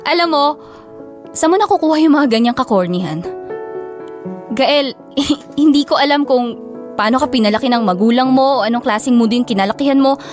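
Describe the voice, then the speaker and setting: A woman with a Filipino accent playing a guarded, sharp-tongued young office worker in an audio drama. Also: sits high, a little fast, long pauses, over music, natural voice. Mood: exasperated, teasing. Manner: scolding, blunt, guarded. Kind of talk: Tagalog audio drama acting.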